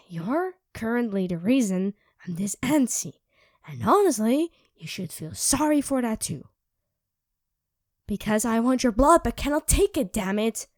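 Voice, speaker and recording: A woman voicing an irritable young female vampire who craves blood, speaking in a sharp, sarcastic way and often dropping to a whisper. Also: fairly high pitch, very animated, unhurried, no echo, studio quality. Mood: snappish. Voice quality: drops into soft whispers. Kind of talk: ASMR roleplay.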